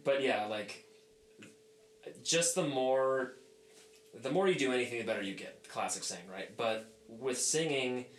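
A man's voice: medium pitch, a little slow, long pauses, echoey room, slight background noise. Stuffy-voiced man hosting a podcast. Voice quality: stuffy on one side. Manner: relaxed, chatty, laughs easily. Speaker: podcast host. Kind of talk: casual conversation.

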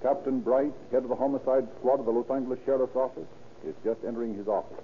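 A man's voice: mid-range voice, steady tone, quick pace, faint music behind. A man announcing and narrating in scripted 1930s radio style, formal and emphatic. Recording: tinny 1930s radio broadcast.

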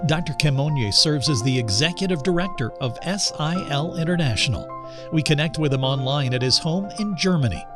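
A male radio program host reading a scripted intro and asking interview questions in a measured, polished way. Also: on the high side, expressive, over music.

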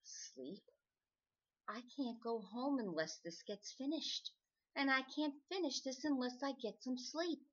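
A woman's voice: high-pitched, measured pace, a bit bright, husky, dull audio, full character voice. An actress playing an overworked, wry woman writer on deadline in an old-time radio drama, sounding exasperated.

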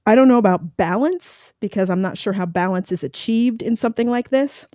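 A woman's voice: lowish voice, dark tone, dry recording, clean studio sound. A woman in her forties telling her own adoption story in a candid, reflective way, with many fillers and restarts. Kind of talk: personal storytelling monologue.

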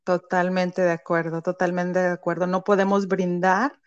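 A woman's voice: on the low side, flat delivery, normal speed, no room sound, muffled recording. A woman with a Mexican accent, a business founder speaking as an interview guest, talking reflectively with many "eh" and "¿no?" tags. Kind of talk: conversational interview.

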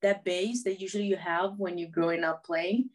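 A woman's voice: on the low side, moderate pace. A woman in her twenties from Brazil, a footballer, chatting as a podcast guest in English learned as a second language, with small grammar slips and easy laughs. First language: Portuguese. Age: 20s. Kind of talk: casual interview chat.

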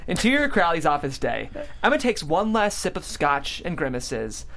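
Animated stage-direction narrator. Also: medium pitch, normal speed, vocal fry. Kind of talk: scripted narration at table read.

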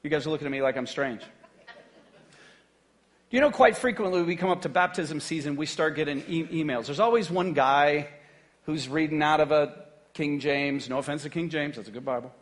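A man's voice: on the high side, brisk. A man preaching a conversational sermon to his church, joking and often checking in with the congregation. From United States. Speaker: pastor.

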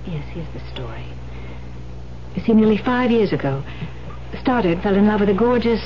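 A woman's voice: mid-range voice, lively intonation, moderate pace, soft background music, natural voice. A woman playing an urgent, persuasive but guarded police detective in an old-time radio drama. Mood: explaining, firm. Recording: muffled, noisy old radio broadcast recording.

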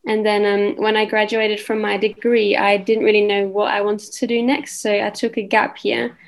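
Woman in her twenties, from the Netherlands, Australian-London accent, flat even tone, gravelly fry. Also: mid-range voice, normal speed. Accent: Australian-English mix. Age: 20s. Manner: relaxed, many ums and soft laughs. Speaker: guest model. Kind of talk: casual interview chat.

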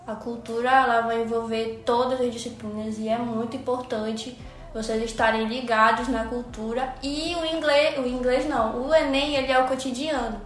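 A woman from Pará speaks with a Paraense accent as a language teacher on a panel. Her voice sits high, and she explains at length with frequent hesitations and restarts. The room around her is echoey. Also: steady tone, normal speed, slight background noise. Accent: Paraense Brazilian Portuguese. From Pará, Brazil. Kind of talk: educational panel discussion.

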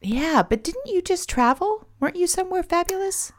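A middle-aged woman hosting a podcast, chatting animatedly and a little self-deprecatingly, her voice hoarse from a cold. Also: very high voice, animated, moderate pace. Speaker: podcast host. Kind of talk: casual podcast chat.

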